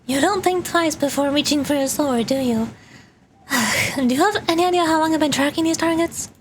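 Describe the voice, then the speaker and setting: A woman's voice: sits high, moderate pace, close to an everyday voice. A woman voicing a haughty, vengeful young noblewoman knight from a fantasy game, formal and indignant, over a noisy background. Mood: threatening.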